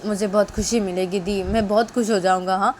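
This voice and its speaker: A woman's voice: medium pitch, fairly even intonation, a little fast, darkish tone, slight background noise, lightly acted. A woman voicing an eager younger brother who keeps pleading and whining to his sister. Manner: pleading, whiny.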